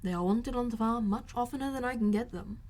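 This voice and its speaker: A woman's voice: fairly high pitch, lightly acted. Woman acting out a dry, sardonic middle-aged father. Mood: wry. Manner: deadpan, measured.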